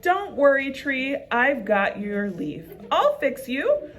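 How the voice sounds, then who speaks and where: A woman's voice: sits high, big pitch swings, takes it slowly, fairly bright tone, voice pushed up, exaggerated voice. A woman voicing a worried, caring young male fox cub in an earnest, exclaiming way for a storybook.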